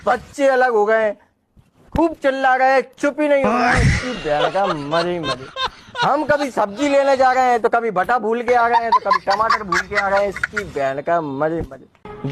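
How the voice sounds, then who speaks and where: Man acting an exasperated, very high-voiced cartoon husband. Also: noisy background. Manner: repetitive catchphrase, griping. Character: exasperated cartoon husband griping about married life. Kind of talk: comic rhythmic rant.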